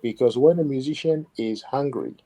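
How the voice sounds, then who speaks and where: A man being interviewed as a musician, talking in a measured, reflective way with many "you know" asides. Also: medium pitch, mellow, poor audio. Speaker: musician guest. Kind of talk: interview conversation.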